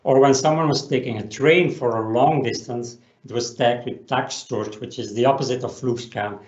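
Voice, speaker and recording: A man's voice: mid-range voice, moderate pace, a little mellow. Man presenting in a roomy echo. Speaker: business presenter. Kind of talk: explanatory webinar presentation. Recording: roomy echo, dull lo-fi sound.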